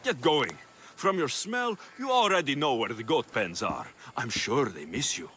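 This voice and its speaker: A man's voice: medium pitch, very animated, moderate pace, pushed and bright, a little hiss or hum. A man playing a determined ancient Greek mercenary in a video game cutscene, blunt and no-nonsense. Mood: firm, challenging. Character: gruff, determined ancient Greek mercenary hero, forthright and protective.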